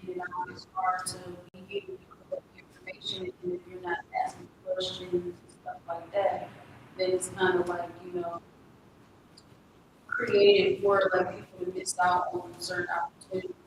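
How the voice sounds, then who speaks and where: A young woman giving halting personal testimony as a guest in a public community conversation. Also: fairly low pitch. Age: young adult. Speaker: community panel guest, former foster youth. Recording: very echoey room, distant mic, noisy.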